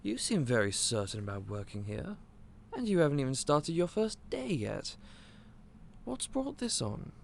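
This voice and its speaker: An actor playing a gentle, clumsy candy shop owner who stammers and speaks in a polite, old-fashioned way. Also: male voice, on the high side, big pitch swings, normal speed, gravelly, a little hiss or hum. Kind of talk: audio roleplay acting.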